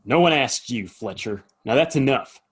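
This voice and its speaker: A man plays a weary saloon keeper in an Old West radio drama. He has a mid-pitched voice and talks in a folksy, plain, exasperated way. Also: lively intonation, normal speed, husky. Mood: fed up. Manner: folksy, exasperated, straight-talking. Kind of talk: audio play acting, western.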